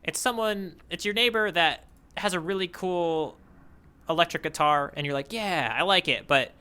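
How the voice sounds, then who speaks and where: Guy cohosting a podcast, kinda high bright voice, casual riffing and wandering tangents. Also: normal speed, clean tone. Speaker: podcast co-host. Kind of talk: casual chat.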